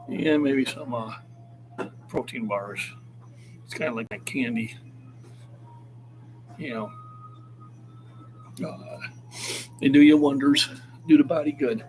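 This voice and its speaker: Encouraging man in his sixties, noisy background. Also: medium pitch. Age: 60s. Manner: encouraging, motivational. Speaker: fitness coach. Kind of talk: casual livestream chat.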